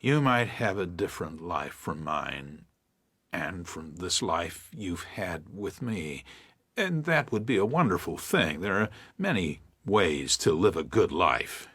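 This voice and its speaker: A man playing a gentle, reflective preacher of seventy-six in a first-person audiobook, with a measured, husky, aged voice. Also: deep voice, sing-song, dry recording, studio quality, full character voice. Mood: tender. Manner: measured, tender, musing. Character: gentle, reflective 76-year-old Midwestern preacher writing to his son. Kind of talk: first-person audiobook narration with acted dialogue.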